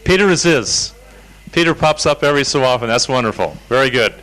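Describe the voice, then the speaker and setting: A man's voice: medium pitch, expressive, brisk, fairly bright tone, poor audio. A man leading a seminar, casually calling audience members up to introduce themselves. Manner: informal, inviting, with fillers. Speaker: seminar leader. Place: seminar room.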